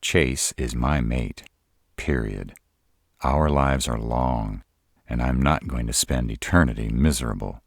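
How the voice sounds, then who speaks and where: A man's voice: very deep voice, measured pace, creaky, no echo, full character voice. A man voicing a sarcastic young male vampire who is polite but defiant, in a roleplay audio drama with a dry, defensive delivery.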